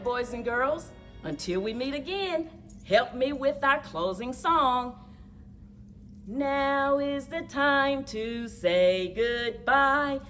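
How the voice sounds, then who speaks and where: A woman reading a picture book aloud to young children as a story time librarian, expressive and deliberate, addressing them directly. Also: high voice. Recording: distant microphone, music mixed in.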